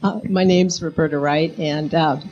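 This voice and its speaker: A woman's voice: sits low, steady tone, moderate pace, noisy background. A woman giving a personal statement at a public discussion, recorded with a dull sound. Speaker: audience member giving a statement.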